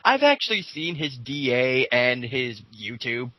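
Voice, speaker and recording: A man's voice: mid-range voice, sing-song, unhurried, no echo, highs cut off. A man giving a casual, opinionated and mocking rant as he reads out and reacts to online comments. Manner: opinionated, mocking, foul-mouthed.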